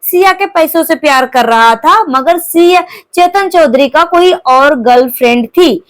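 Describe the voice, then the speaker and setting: A woman's voice: high-pitched, expressive, a little slow. A woman commentator talking through a crime news case informally, explaining it to viewers with repeated verbal tags.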